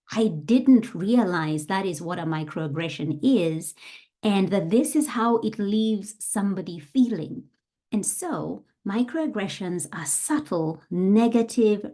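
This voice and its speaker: A woman talks alone into the mic for her podcast, her voice sitting a little low and sounding mellow. She explains things patiently and earnestly, as if talking to one faithful listener. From Australia. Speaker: podcast host. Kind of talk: solo podcast monologue.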